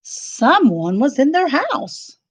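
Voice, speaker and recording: A woman narrating a children's storybook in an expressive, dramatic and deliberate style. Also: high voice, no room sound.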